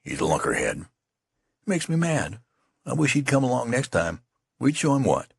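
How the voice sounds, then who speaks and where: A young country soldier with a plain rural drawl , voiced by a male reader, lifted above a gravelly, husky natural voice. The delivery swings up and down, and the sound is dry and close. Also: fairly low pitch, expressive, unhurried, no echo, voice pushed up, heavily acted. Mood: grumbling. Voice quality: rustic folksy dialect.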